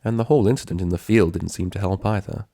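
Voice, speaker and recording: A man's voice: deep voice, fast, creaky, dark tone, no room sound, studio quality. A man voicing a refined, knowing female cartoon pony with a genteel, slightly dismissive manner. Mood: concerned.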